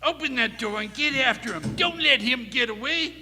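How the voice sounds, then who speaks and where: Man acting a defiant high-pitched old-West ranch boss. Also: expressive, crowd noise. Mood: grim, commanding. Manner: folksy Western cowboy talk, drawn-out emphatic delivery. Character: tough suspicious male ranch boss, defiant and accusing. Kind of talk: live Old West radio play acting. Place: theater stage before a live performance hall. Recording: echoey concert hall, faint noise.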